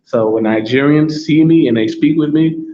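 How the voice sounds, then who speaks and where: A man's voice: fairly high pitch, normal speed, mellow, highs cut off. An American man hosting his own talk show, speaking in a solo monologue and driving his points home by saying them again and again. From United States. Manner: repetitive, emphatic, restating points.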